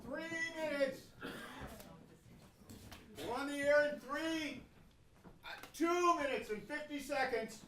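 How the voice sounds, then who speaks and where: Man playing high-voiced radio stage manager, distant. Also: exaggerated voice. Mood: businesslike. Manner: brisk announcing calls. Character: radio stage manager calling out time and places. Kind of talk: live stage radio-show acting. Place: theatre stage. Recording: roomy distant stage recording with loud noise.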